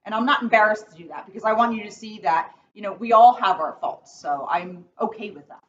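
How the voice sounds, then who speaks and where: A woman who works as a school police officer speaks to children in a plain, encouraging and slightly halting way. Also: mid-range voice. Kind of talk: storybook read-aloud and casual talk to children. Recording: muffled, faintly noisy, distant microphone.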